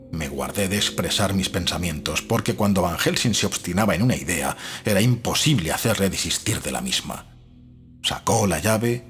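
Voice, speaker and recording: A man's voice: deep voice, fairly bright tone. A man reading gothic fiction as an audiobook narrator, in a scripted, dramatic style. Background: faint music.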